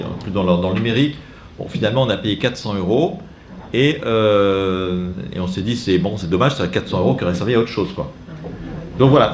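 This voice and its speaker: A man leads an informal training session, wandering into asides and poking fun at himself as he clicks through a demo. His voice sits at an ordinary middle pitch. The room is echoey, with a faint ambient hum underneath. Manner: chatty, digressive, self-mocking asides. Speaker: speaker training catechists.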